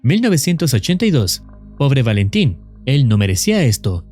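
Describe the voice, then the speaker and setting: A man reads scripted narration as the narrator of a horror explainer, in a measured storytelling manner. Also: medium pitch, big pitch swings, a little mellow, soft background music.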